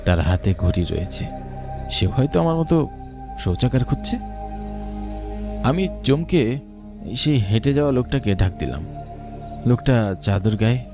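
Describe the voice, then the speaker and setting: Male narrator, lowish voice, dramatic scripted horror storytelling, music and night insects behind.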